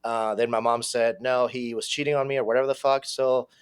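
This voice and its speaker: A man in his thirties, raised in Venezuela, telling his life story as a podcast guest in a casual, profane, animated way. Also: mid-range voice, a little fast, smooth. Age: 30s.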